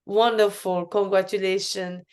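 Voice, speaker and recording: A woman from Cameroon whose first language is French hosts a friendly interview show. Her voice is smooth and clear at a middling pitch. She gushes with praise and often stumbles and restarts mid-sentence. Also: little pitch movement, a little slow. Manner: warm and effusive, with frequent restarts and fillers. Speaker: show host. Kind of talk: casual interview chat.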